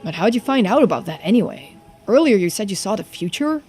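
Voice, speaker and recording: A woman's voice: medium pitch, very animated, quick pace, darkish tone, soft background music, close to an everyday voice. A woman voicing a frank, loyal young sidekick in a video game, with a casual, emphatic, questioning manner. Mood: curious, attentive.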